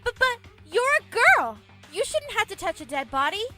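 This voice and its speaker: A woman voicing an excitable, flustered, protective teenage girl in an anime fan dub. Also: very high voice, moderate pace, over loud music. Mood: flustered, pleading.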